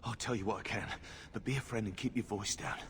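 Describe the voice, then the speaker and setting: A man voicing a frightened rustic miner in a fantasy video game, hushed and folksy. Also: fairly low pitch, fairly even intonation, quick pace, vocal fry, a little hiss or hum. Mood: frightened, relieved.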